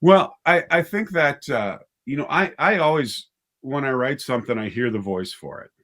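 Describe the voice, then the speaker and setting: A man's voice: medium pitch, lively intonation, normal speed, fairly bright tone. A man speaking casually as an interview guest, a writer and director thinking aloud in a hesitant, conversational way.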